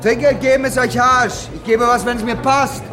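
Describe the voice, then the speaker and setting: An actor playing a cynical, coarse street hustler in a radio play, with a swaggering, declamatory manner. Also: male voice, very high voice, steady tone, moderate pace, over music. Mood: defiant. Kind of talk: German radio play acting.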